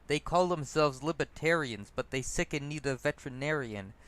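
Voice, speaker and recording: A man voicing a bold woman street rapper in a story, with a loud, rhyming delivery. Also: fairly high pitch, moderate pace, pitched above the performer's own voice, close to an everyday voice. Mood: bold, playful.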